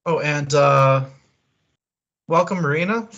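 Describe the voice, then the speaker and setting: A man's voice: on the high side, slow, fairly bright tone, muffled recording. A man co-hosting a book club livestream, chatting in an informal, rambling and wry way. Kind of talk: casual chat, book discussion.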